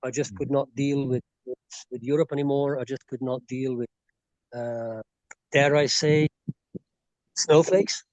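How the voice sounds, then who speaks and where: A man's voice: mid-range voice, fairly even intonation, measured pace, a little mellow, no echo, highs cut off. A man from Belgium with a slightly Irish-tinged accent, a guest chatting casually in an interview with many fillers and restarts. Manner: rambling, frequent fillers and restarts. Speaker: expat guest. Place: rural property in Bolivia.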